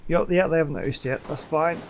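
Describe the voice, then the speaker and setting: A man's voice: on the high side, quick pace, faint music behind. A man giving casual gameplay commentary, thinking aloud and muttering to himself as he plays. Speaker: gaming host. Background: video game sound.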